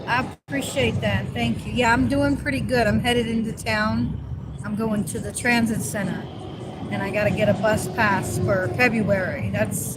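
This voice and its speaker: A woman with a New Jersey accent chatting casually to her viewers from a moving car, easygoing and grateful, rambling with lots of fillers. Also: medium pitch. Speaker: vlogger. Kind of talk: casual livestream chat. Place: in a car on the road. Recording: loud road noise from a car. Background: vehicle noise.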